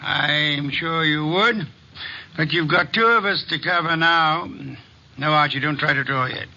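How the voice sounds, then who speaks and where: An actor playing a shrewd, imperious detective boss in an old radio drama, speaking formally and deliberately. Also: male voice, on the high side, bright, forceful tone, exaggerated voice. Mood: defiant calm. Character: shrewd, imperious middle-aged male private detective boss. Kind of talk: 1950s radio detective acting. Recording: dull vintage radio recording.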